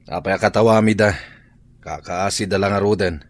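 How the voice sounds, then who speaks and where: An easygoing married man in a radio drama, speaking in a low voice with an Ilocano accent. He talks like a familiar neighbour, plain and conversational, with questions for those around him. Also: fairly even intonation, fairly quick, dry recording. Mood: amused, pitying.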